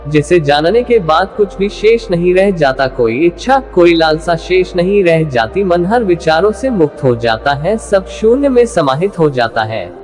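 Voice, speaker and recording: A man voicing a wise, calm male spiritual master who instructs a disciple in a measured way in a moral story. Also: high-pitched. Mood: calm, teaching. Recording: long hall reverb. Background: music.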